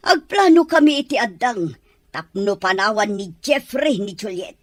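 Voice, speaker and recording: A woman's voice: mid-range voice, expressive, moderate pace, no room sound, natural voice. A woman playing a scheming mother-in-law. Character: scheming older mother-in-law plotting against daughter-in-law. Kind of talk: Ilocano radio-style drama.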